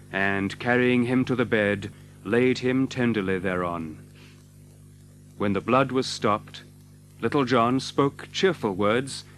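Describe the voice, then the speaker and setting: A man narrating an adventure story as a dramatic scripted audiobook, with expressive, measured literary delivery. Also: mid-range voice, slight background noise.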